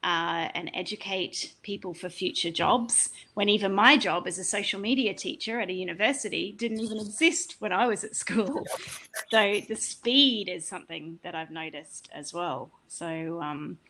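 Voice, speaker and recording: A woman's voice: lowish voice, moderate pace, sharp tone, slight background noise, rough recording. A woman with an Australian accent, moderating an online panel in a chatty, enthusiastic way over a video call. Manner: chatty, enthusiastic, personal asides.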